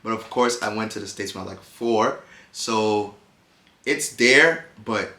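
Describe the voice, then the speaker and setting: A young man from Ghana, Twi his first language, talks in a loose, slangy way and laughs easily. His voice sits a little low but comes across bright and forceful. The room around him is echoey. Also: a little slow. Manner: laid-back, slangy, laughs often. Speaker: co-host. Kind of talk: casual chat.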